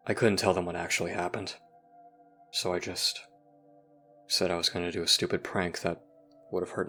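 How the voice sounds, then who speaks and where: An actor playing a brooding, guilt-ridden young person recounting painful memories in a somber, halting audio drama monologue. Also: male voice, low-pitched, pushed and bright. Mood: wry, subdued.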